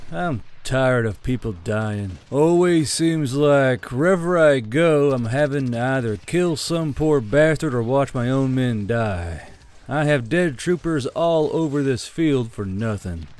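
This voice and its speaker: A man's voice: mid-range voice, expressive, slow, darkish tone. Man as a weary, grim troop commander. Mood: weary, bitter.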